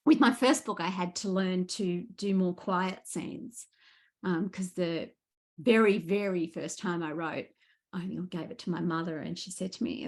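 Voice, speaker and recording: A woman talks in a relaxed book interview as the guest author. Her pitch sits in the middle for a woman. She explains herself in a reflective, animated, conversational way. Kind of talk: casual book interview chat.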